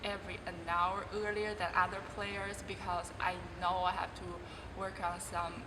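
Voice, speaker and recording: A woman's voice: mid-range voice, level tone, moderate pace. A young Chinese woman, a college volleyball player, answering interview questions in careful non-native English with frequent restarts. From China. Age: 20s. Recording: distant voice in a reverberant room with clear background noise.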